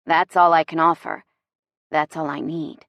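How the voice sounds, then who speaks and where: Woman voicing a gruff, hushed, seductive man. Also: deep voice, level tone, no room sound, muffled recording. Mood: intense, serious. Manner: murmuring, deadly serious, deliberate. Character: confident, intense man, seductive brooding suitor. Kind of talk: romance audiobook dialogue.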